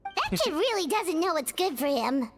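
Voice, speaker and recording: A squeaky bubbly fairy sidekick. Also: female voice, very animated, moderate pace, heavily acted. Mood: lively. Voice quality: squeaky, bubbly, talks of self by name. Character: tiny excitable female fairy companion. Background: loud game music.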